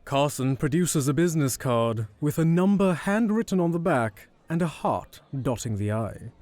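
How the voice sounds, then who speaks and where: A man's voice: on the high side, expressive, moderate pace, darkish tone, no echo. A man reading scripted third-person narration for an audio drama.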